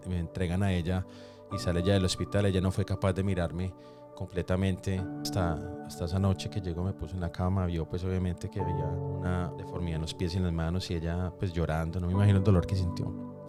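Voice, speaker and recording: A man giving a personal testimony in conversation, speaking reflectively with halting pauses. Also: low-pitched. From Colombia, raised in New York from age four. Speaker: podcast guest. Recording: roomy echo, faint music behind.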